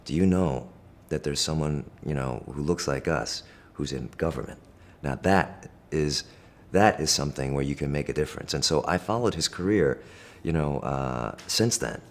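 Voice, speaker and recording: Very deep-voiced reflective man. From Korea, raised in Pennsylvania. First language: Korean. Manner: thoughtful, measured, many fillers and restarts. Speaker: interviewee. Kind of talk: reflective interview answers.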